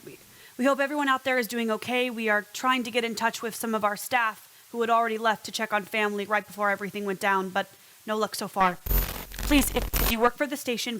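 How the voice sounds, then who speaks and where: An anxious radio host played by a woman keeps a polite, composed front in a call-in drama. Her voice sits a little high and hesitates earnestly, over a clearly noisy line with clicks. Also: fairly even intonation, a little fast, lightly acted. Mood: worried, polite.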